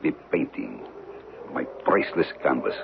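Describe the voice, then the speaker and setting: An actor playing a cold, ruthless major, a foreign villain in a radio drama who speaks with formal, menacingly polite precision. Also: male voice, a bass voice, animated, moderate pace, creaky, exaggerated voice. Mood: coolly bargaining. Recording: muffled, noisy old radio recording.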